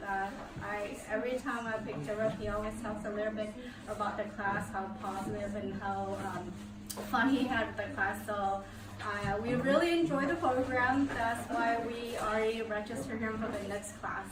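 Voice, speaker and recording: A woman, a parent, giving an informal testimonial. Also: mid-range voice. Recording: echoey room, distant mic, clear noise.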